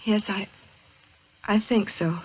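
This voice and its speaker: An actress playing a fragile, frightened woman who doubts her sanity, halting and repeating words in 1940s radio style. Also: mid-range voice, steady tone, a little hiss or hum, natural voice. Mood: shaken. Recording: dull 1940s radio recording.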